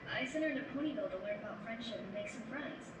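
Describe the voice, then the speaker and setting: A woman's voice: a little high, lively intonation, quick pace, close to an everyday voice. Lady doing kind earnest cartoon princess pony, sounds far off w noise behind. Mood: earnest, caring. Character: caring adult pony princess and aunt, earnest. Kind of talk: cartoon-style fan audio drama. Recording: echoey, distant, noisy speaker playback.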